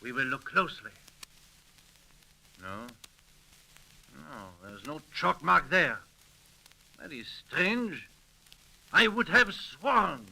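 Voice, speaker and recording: Gravelly, sharp French revolutionary soldier. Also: male voice, fairly high pitch, big pitch swings, takes it slowly, long pauses, full character voice, rough recording. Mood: suspicious, musing. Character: brusque, suspicious French revolutionary soldier, commanding, puzzled. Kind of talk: 1930s radio acting.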